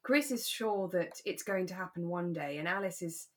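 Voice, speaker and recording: Woman podcast host, lower-pitched voice. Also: normal speed. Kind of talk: relaxed discussion of a radio drama.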